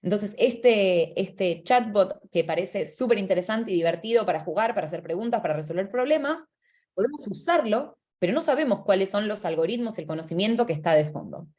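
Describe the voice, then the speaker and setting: A woman's voice: mid-range voice, expressive, brisk, a little mellow. An Argentine woman presenting a webinar talk over a video call. She explains ideas at length with fillers and self-corrections. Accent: Argentine Spanish. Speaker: webinar speaker.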